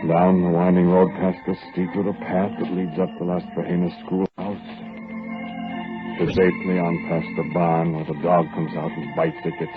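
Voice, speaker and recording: A man's voice: low-pitched, natural voice. An American man acting a curt, cynical, domineering killer on the run in a 1940s radio drama, terse and slangy. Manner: terse, slangy, tough-talking. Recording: muffled 1949 radio recording, music behind.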